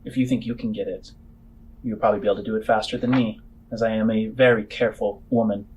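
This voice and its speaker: A man's voice: fairly low pitch, fairly even intonation, fast talker, a little mellow, a little hiss or hum, close to an everyday voice, rough recording. A man voicing a shrewd, guarded woman who runs a bar in a space-fantasy tabletop game, musing and questioning. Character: shrewd, cautious woman bar owner in space, coolly intrigued.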